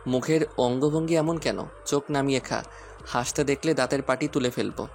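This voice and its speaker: A man playing a teasing, romantic husband and protective father who can turn gruff, in an audio story drama. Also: medium pitch, steady tone, a little fast, a little hiss or hum, close to an everyday voice. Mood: annoyed, scolding.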